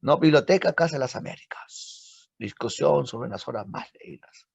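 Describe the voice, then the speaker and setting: A man teaching a literature class, lively and full of rhetorical questions. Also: medium pitch, normal speed, vocal fry, breathy edge, no echo. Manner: lively, asks rhetorical questions, frequent tag "¿no?". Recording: dull lo-fi dry audio.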